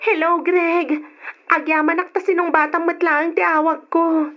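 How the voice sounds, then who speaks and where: A woman's voice: very high-pitched, level tone, measured pace, over music. An actress with an Ilocano Filipino accent playing a lovestruck, anxious young working woman in a radio drama. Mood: sweet, eager. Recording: muffled, noisy old radio drama.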